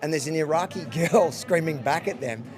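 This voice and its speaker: A man doing street interviews outdoors at night, firing off provocative, quick questions. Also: a little high, over music. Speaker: street reporter. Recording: noisy street crowd.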